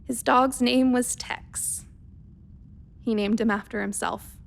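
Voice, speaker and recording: A woman's voice: on the high side, steady tone, takes it slowly, vocal fry. A woman playing a methodical, worried spaceship doctor dictating a research log in a radio play, clinical and precise but hesitant. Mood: clinical, wistful.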